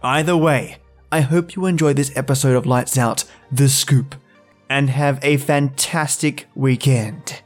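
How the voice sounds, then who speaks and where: A man's voice: mid-range voice, fairly even intonation, a little slow. A man hosting a podcast, reading a scripted introduction in a chatty, theatrical manner. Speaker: podcast host. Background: music bed.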